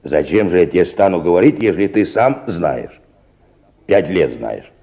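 An actor playing an insolent, stubborn middle-aged peasant choir singer in a radio drama, gruff and mocking. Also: male voice, sits low, very animated, moderate pace, warm tone, highs cut off, full character voice. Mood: surly, mocking. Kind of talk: Russian radio drama acting.

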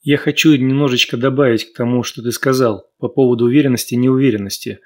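Radio host guy, mid-pitched, kind of lecturing, talks you through it with examples. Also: steady tone, fast talker, darkish tone. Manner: didactic, explains with examples. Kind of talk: live radio talk, lecturing.

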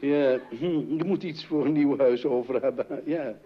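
A man's voice: mid-range voice, unhurried, close to an everyday voice. An actor playing a fussy, flustered middle-aged father in an old-fashioned radio comedy, with a blustering, repetitive way of talking. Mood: nervous, flustered. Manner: blustering, exclamatory, repeating himself. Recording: dull, noisy old radio recording.

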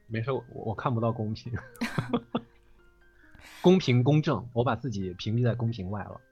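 A man's voice: medium pitch, normal speed, mellow, slightly rough, no echo. A man joking around casually as a guest voice actor. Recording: slightly dull audio with music.

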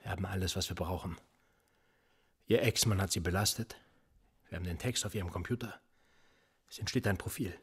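An actor playing a sardonic, probing police interrogator in a naturalistic radio drama, speaking in a deliberate, controlled way. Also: male voice, deep voice, slightly rough, full character voice. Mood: cold, menacing. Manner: deliberate, probing, dryly ironic.

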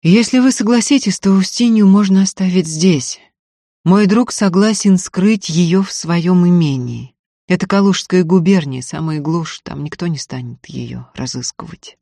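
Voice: medium pitch, unhurried, vocal fry, warm tone, no echo, studio quality, pitched above the performer's own voice. A narrator playing a grave, guilt-ridden but firm landowner in a dramatic audiobook. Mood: grave, persuading. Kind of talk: dramatic audiobook character reading.

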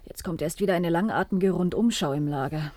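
A woman's voice: fairly low pitch, a little fast, a little mellow, dry recording, close to an everyday voice. Actress as a brooding, sceptical woman, recorded dry. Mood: bitterly sardonic. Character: sceptical, bitter, uneasy adult daughter of an internee. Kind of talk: radio play dialogue.

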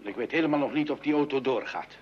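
A man's voice: medium pitch, fast, breathy edge, natural voice. An actor playing a flustered, grumbling middle-aged father who stammers in an old radio comedy. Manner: hesitant, stammering, indignant. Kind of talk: mid-century Dutch radio comedy acting. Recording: dull old radio recording with noise.

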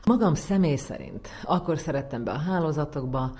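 A woman's voice: low-pitched, animated, dark tone. A woman speaking as an academic expert, explaining ideas in long, thoughtful sentences. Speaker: social psychologist, interviewed expert.

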